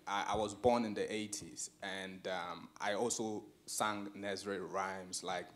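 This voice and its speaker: A man's voice: low-pitched, fairly even intonation, unhurried, fairly bright tone, slight background noise. A man in his forties from Ghana, asking a question from the audience in a lecture theatre, polite and hesitant with many filler sounds.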